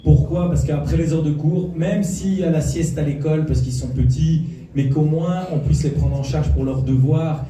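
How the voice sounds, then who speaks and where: A man's voice: fairly high pitch, fairly even intonation, moderate pace, dark tone. A man giving an impassioned political speech, insistently repeating his key points. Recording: echoey hall from far off, faint noise.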